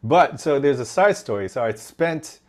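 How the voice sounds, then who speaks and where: A man's voice: medium pitch, normal speed. A man in his forties from Taiwan, a podcast guest telling his story animatedly on a video call with a headset, often restarting his sentences. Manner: animated, gestures while talking, frequent restarts. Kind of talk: casual interview storytelling. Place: home room indoors.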